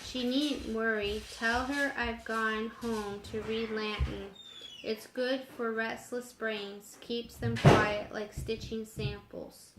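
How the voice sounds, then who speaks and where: A woman voicing an earnest, bookish young man with a deliberate manner in a story. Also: on the high side, steady tone, echoey room, heavy background noise. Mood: grieved, curt.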